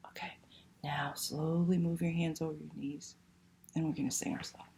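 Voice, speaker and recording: A woman's voice: deep voice, little pitch movement, normal speed, vocal fry, warm tone, slight background noise. A woman hosting a library story time, reading aloud and talking to young children with simple questions and prompts.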